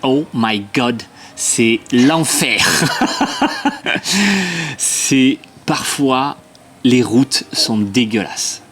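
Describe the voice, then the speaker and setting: A man of medium pitch speaks bluntly and emphatically in a casual travel vlog, laughing easily. He is recorded outdoors on a camera mic. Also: very slow, long pauses. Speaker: vlogger. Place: outdoors in hot weather.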